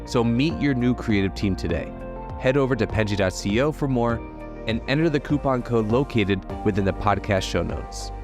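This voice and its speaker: A man reading a scripted sponsor ad in a smooth, even promotional manner. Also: mid-range voice, moderate pace. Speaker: ad announcer. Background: music bed.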